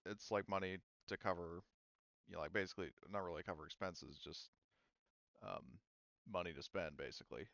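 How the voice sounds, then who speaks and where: A man running a tabletop roleplaying game as game master, narrating casually off the cuff in a halting, rambling way. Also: deep voice, steady tone, creaky, a bit bright, dry recording, lo-fi sound. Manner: halting, rambling delivery heavy with hedges.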